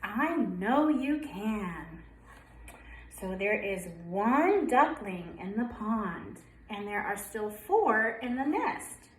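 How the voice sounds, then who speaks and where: A woman's voice: medium pitch, very animated, slow pace, hardly any pauses, slight background noise. A woman preschool teacher reading a picture book aloud to young children, prompting with questions and sing-song counting. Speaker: preschool story-time teacher. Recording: roomy echo.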